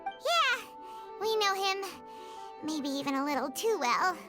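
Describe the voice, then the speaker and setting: A woman voicing a small, chatty, curious childlike companion creature in a video game, with a squeaky voice. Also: very animated, a little slow, music in the background, exaggerated voice. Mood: puzzled. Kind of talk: video game character dialogue.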